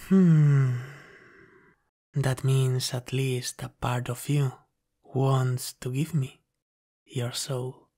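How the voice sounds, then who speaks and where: A man voicing a flirty, dominant demon from the underworld in an intimate ASMR roleplay, soft, breathy and often half-whispered. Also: mid-range voice, steady tone. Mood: menacing, playful. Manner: slow, teasing, dominant.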